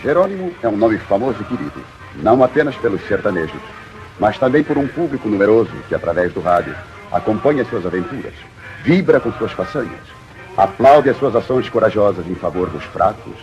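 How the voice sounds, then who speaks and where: A man's voice: fairly low pitch, darkish tone, poor audio. A man with a Brazilian Portuguese accent narrating an old-time radio serial in a scripted, grandiloquent, declamatory way.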